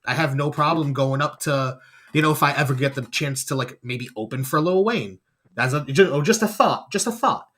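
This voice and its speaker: A man's voice: a little high, moderate pace, fairly bright tone, slightly rough. A man hosting a podcast, chatting casually and laid-back, often asking "you know what I mean". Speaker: podcast host, rapper.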